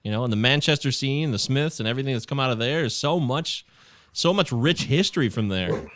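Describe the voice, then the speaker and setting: A man hosting a podcast, excitable and animated, laughing easily as he asks questions. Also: mid-range voice, brisk, no room sound. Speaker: podcast host. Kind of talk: casual chat.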